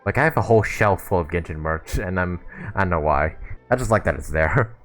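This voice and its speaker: A man reacts casually as he plays a game. His voice is quite low, with soft game music behind it. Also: lively intonation, brisk. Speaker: gaming video host. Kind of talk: casual gameplay commentary.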